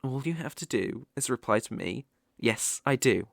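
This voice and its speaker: A man's voice: medium pitch, lively intonation, gravelly, fairly bright tone, dry recording, studio quality. A British man playing a sly, possessive, lovestruck fox-spirit mage in an ASMR audio roleplay, coaxing and easily flustered. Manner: coaxing, slow, with eager flustered outbursts.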